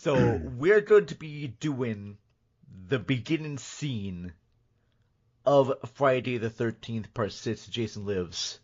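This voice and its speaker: A man's voice: mid-range voice, lively intonation, takes it slowly, a little mellow. A man hosting a podcast in casual, joking, sometimes mock-serious chat. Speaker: podcast host. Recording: dull, band-limited podcast audio.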